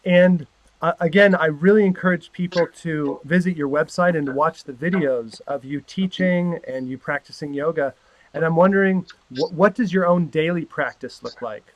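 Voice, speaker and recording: A man's voice: fairly high pitch, moderate pace, no echo, highs cut off. A man interviewing a yoga teacher, asking friendly, encouraging questions. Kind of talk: podcast interview.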